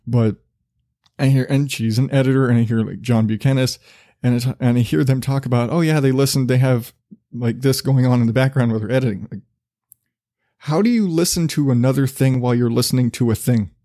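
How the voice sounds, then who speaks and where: A man with a relatively low voice hosting his own podcast, rambling casually with a loose, profane, self-deprecating manner and frequent restarts. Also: fairly quick, warm tone, no room sound, clean studio sound. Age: not a millennial. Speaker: podcast host.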